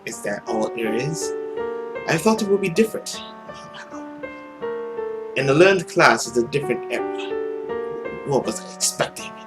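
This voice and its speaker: A man's voice: medium pitch, lively intonation, a little slow, long pauses, music in the background, natural voice. A man playing a world-weary immortal demon who poses as a nobleman, musing theatrically through his journal in a visual novel. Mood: bitter musing. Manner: brooding, musing, theatrical diary reading.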